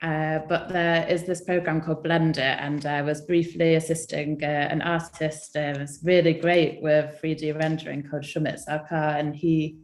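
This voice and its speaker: A young woman from England, a guest designer chatting casually on a live stream, hesitant with many ums and soft laughs. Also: deep voice, flat delivery, normal speed, few pauses, roomy sound.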